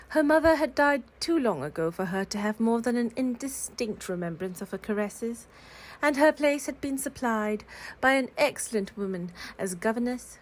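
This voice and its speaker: A woman reading classic literary fiction aloud in a calm, cozy storytelling style. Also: fairly high pitch. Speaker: reader of classic novels aloud.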